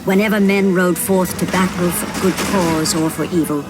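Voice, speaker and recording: An actress playing a solemn narrator of an epic legend, giving scripted, portentous narration for a fantasy video game. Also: female voice, lowish voice, fairly even intonation, normal speed, lightly acted. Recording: music behind the narration.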